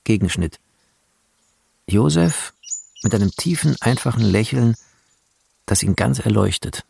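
Gravelly, animated male filmmaker. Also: fairly low pitch, unhurried, slight background noise, heavily acted. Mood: focused, descriptive. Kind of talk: radio drama reading of screenplay and monologue.

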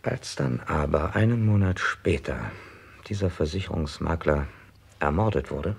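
A man playing a calm, methodical police inspector in a crime radio play, husky and persuasive. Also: very deep voice, moderate pace, exaggerated voice. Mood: measured, persuasive. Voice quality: gravelly, husky. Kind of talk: mid-century German crime radio drama acting.

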